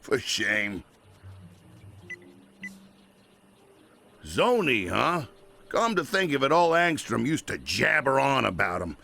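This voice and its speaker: An actor playing a grumpy blue-collar union repairman in a video game, griping and wisecracking in a gravelly voice. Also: mid-range voice, very animated, unhurried, pushed and bright, full character voice. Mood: indignant. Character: grumpy working-class union repairman, put-upon griper. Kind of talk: animated video game cutscene acting.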